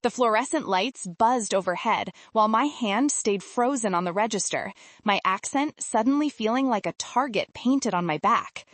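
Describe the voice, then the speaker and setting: A woman's voice: fairly high pitch, animated, normal speed, a bit bright, no echo. A woman storyteller reading a scripted, dramatic first-person narration.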